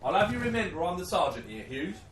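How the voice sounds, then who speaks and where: A man's voice: high voice, sing-song, fast talker, recorded from a distance. A man playing a dutiful, careworn British army sergeant in a war radio play, firm, earnest and paternal. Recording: roomy, off-mic, faint background noise.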